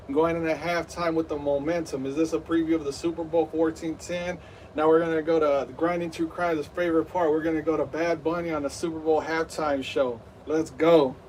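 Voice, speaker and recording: A man's voice: a little high, recorded from a distance, a little hiss or hum. A man in his thirties hosting a live game stream, rambling with lots of fillers and hyped play-by-play. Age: 30s.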